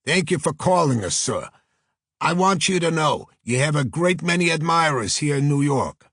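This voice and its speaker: Man voicing a brash Brooklyn talent agent, pitched up. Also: normal speed, slightly rough, no echo, studio quality, full character voice. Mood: eager, flattering. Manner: effusive, flattering, salesman-like. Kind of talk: audiobook character voice.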